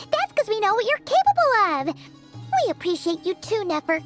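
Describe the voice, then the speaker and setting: Game sidekick: tiny cheerful girl, extremely high, bright, very animated. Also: a little slow, faint music behind, heavily acted. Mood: cheerful, supportive. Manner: refers to self in third person, eager. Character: small, cheerful, childlike floating companion girl. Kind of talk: game character voice acting.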